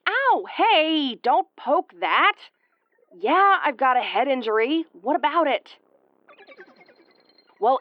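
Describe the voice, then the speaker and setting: A woman's voice: fairly low pitch, dull audio, lightly acted. A woman playing a brash, easily flustered starship commander with a tomboyish, brusque, sweary delivery in an audio roleplay. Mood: irritated. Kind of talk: audio roleplay acting, spoken to the listener.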